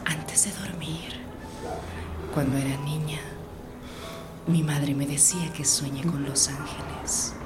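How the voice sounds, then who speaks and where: An actress playing a desolate, vengeful woman in a radio drama, confiding a grave, intimate monologue in a hushed whisper. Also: low voice, steady tone, slow pace, long pauses. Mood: desolate menace. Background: loud music.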